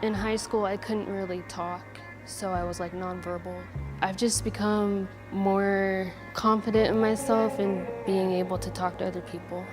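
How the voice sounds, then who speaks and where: Young woman interviewee, soft and hesitant, mid-pitched, clear music playing under her. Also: steady tone, normal speed. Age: 20s. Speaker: interview participant. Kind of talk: documentary interview answers. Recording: clip with music bed.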